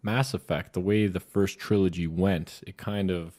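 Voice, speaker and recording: A man with a low-pitched voice chats as a podcast co-host. His delivery is informal and conversational, like an easy discussion among co-hosts. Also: steady tone, moderate pace, a little mellow. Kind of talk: casual chat discussing video game movies.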